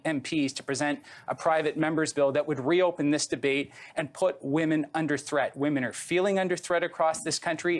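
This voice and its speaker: A man politician from Canada in a heated panel debate, pointed and persistent. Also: on the high side. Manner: pointed, persistent, talks over others.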